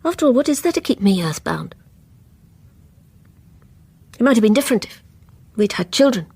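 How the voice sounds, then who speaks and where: A woman's voice: a little high, very animated, normal speed, a little mellow, breathy edge, full character voice. An actress playing a lonely, dreamy, troubled married woman in a radio drama, with a British accent and a confessional, sighing manner. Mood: dreamy, wistful.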